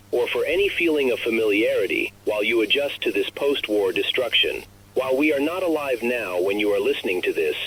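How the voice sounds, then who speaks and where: A man playing a grave narrator of a recorded message to the last human, speaking in a solemn, measured, formal way for an analog horror story. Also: medium pitch, a bit bright, slight background noise, natural voice, rough recording. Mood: solemn, reassuring.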